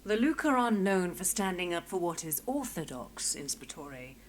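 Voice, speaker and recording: Dignified female marshal with lively, lowish pitch. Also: moderate pace, slight background noise. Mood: dignified, firm. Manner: formal, precise wording. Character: principled, dignified female marshal, firm and proper.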